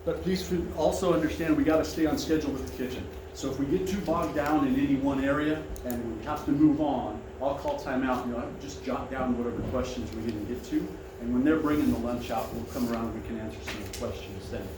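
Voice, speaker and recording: A man's voice: medium pitch, fast talker, hardly any pauses. A man with a big, carrying voice giving a friendly, conversational seminar presentation to a seated audience in a restaurant dining room. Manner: friendly, conversational, invites questions. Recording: echoey room, mic far off, noisy.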